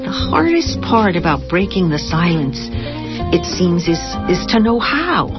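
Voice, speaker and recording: A woman with a low voice speaks in a measured, thoughtful way. Faint music sits behind her, and the recording has the dull tone of lo-fi radio. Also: big pitch swings. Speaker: radio drama cast member. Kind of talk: scripted community radio drama.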